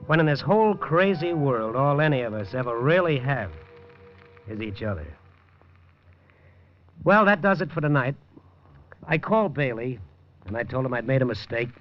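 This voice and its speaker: An actor playing a world-weary night-beat newspaper reporter, telling his own story in a hard-boiled, wry, low-key way. The voice sits at an ordinary male pitch, heard through a dull, lo-fi 1950s radio recording with faint music underneath. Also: natural voice. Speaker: first-person narrator and lead. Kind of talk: 1950s radio drama narration.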